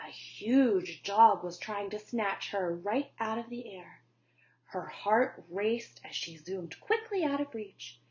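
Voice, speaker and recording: Teacher lady reading a kids' book, real slow, kinda high, muffled audio. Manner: slow, gentle storytelling. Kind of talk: children's read-aloud. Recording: dull lo-fi recording.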